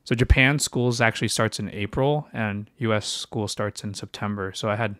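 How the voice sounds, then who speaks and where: A man's voice: fairly low pitch, fairly even intonation, moderate pace, fairly bright tone, no echo, studio quality. A young man in his twenties, born in China, co-hosting a podcast and telling his life story in a hesitant way, with lots of fillers and restarted sentences. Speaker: podcast co-host, physician. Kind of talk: casual podcast chat.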